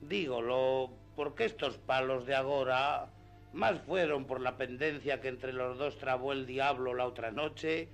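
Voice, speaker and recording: A man's voice: mid-range voice, a little slow, close to an everyday voice. A man playing a simple, outspoken, good-hearted peasant squire in a radio drama, rustic and deferential yet cheeky. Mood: pleading. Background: faint background music.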